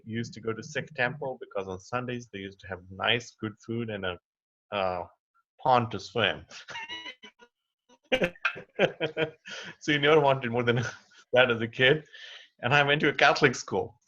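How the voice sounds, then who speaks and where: A man's voice: mid-range voice, moderate pace, long pauses, fairly bright tone. A man from India, candidly sharing his experience in a rambling, easygoing way over a video call. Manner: candid, rambling, laughs easily. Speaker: participant. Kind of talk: personal sharing. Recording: lo-fi web call audio.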